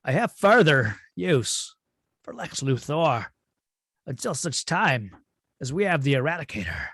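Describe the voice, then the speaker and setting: Man playing slow, husky, imperious alien android villain. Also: a little high, sing-song, a bit bright, no room sound, studio quality, full character voice. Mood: cold, commanding. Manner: slow, grandiose, deliberate delivery. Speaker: table-read performer. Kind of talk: dramatic script read-through.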